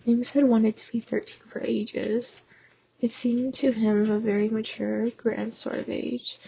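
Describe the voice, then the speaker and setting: A woman with a sore, hoarse throat reading fanfiction aloud in a casual way, stumbling and restarting now and then. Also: on the high side, little pitch movement, measured pace, warm tone, muffled recording, a little hiss or hum. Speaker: fan audiobook reader.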